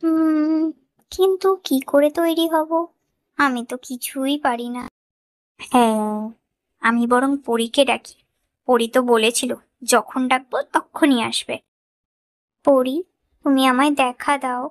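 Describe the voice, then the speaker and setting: A woman's voice: high voice, takes it slowly, long pauses, no echo. A woman voicing a kind, humble young village girl in a fairy-tale cartoon, speaking earnestly. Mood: pleading, grateful.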